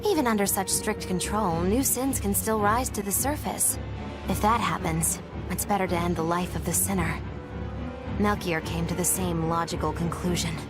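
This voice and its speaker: An actress voicing a wry, worldly witch in a video game, coolly ironic, measured and declamatory. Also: on the low side, over music, natural voice. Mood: cool, detached. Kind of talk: dramatic English game voice acting.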